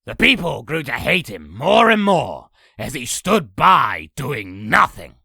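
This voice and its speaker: A man playing a sinister, boastful old demon in a comic dub, telling tales in a raspy, gravelly, theatrical voice. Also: mid-range voice, very animated, takes it slowly, pushed and bright, dry recording, studio quality, heavily acted. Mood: ominous storytelling. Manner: theatrical, grandiose storytelling. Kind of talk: comic dub villain acting.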